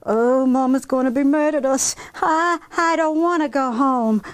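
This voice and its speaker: A woman's voice: very high voice, big pitch swings, normal speed, voice pushed up. A woman voicing a timid, worried young sister in a storybook, hesitant and childlike. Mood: anxious.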